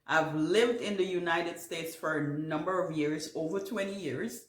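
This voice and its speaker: A woman's voice: lowish voice, moderate pace, echoey room. A middle-aged woman from Guyana with a Caribbean accent, hosting a casual question-and-answer video for her gardening channel and rambling a bit with fillers and restarts. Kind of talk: casual Q&A vlog. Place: home.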